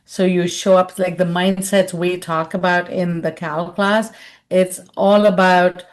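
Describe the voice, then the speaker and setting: A woman's voice: on the low side, level tone, a little slow. A middle-aged woman from India answering a question in a webinar, talking conversationally and acting out quoted voices over a video call. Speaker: webinar speaker and consultant.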